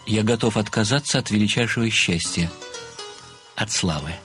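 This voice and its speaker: An actor playing an obsequious, methodical amateur sleuth who speaks formally and deliberately, with flowery deference, in an audio play. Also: male voice, lowish voice, fairly bright tone, soft background music, lightly acted. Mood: reverent. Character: obsequious, methodical amateur male sleuth, adoring a famous writer.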